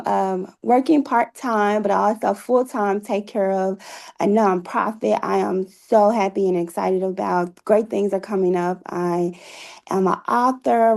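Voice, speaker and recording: Female interview guest, gravelly fry in the voice, effusive, conversational. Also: medium pitch, a little mellow. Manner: effusive, laughs readily. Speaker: interview guest, author and playwright.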